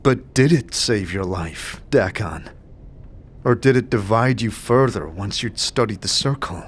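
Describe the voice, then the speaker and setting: Brooding, slow-spoken immortal wanderer played by a man. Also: on the low side, animated, slight background noise. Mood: probing. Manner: earnest, searching, deliberate. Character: brooding, probing, remorseful immortal male wanderer. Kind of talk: fantasy audio drama acting.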